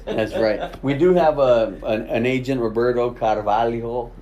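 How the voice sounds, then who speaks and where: A man's voice: medium pitch, measured pace, a crowd in the background. A man hosting a casual interview podcast, chatty and full of "you know" and "right?". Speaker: podcast host.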